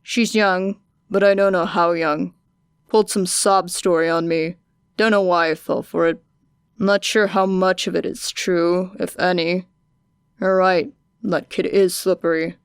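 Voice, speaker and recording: A woman voicing a tired, gruff grown man who talks in curt, clipped lines. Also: lowish voice, steady tone, measured pace, no echo, studio quality. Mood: grumbling.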